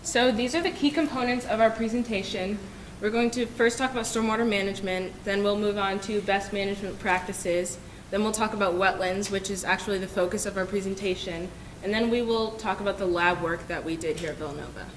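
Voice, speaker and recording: A young woman from Michigan gives a class presentation, setting out each point in order. The lecture room echoes noticeably. A faint rumble sits underneath. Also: steady tone, a little fast. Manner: clear, orderly explaining. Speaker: student presenter.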